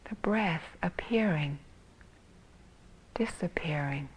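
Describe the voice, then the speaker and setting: A woman teaching meditation in a soft, breathy, husky voice, calmly and gently guiding with long pauses between phrases. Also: low-pitched, low-quality recording. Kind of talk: guided meditation.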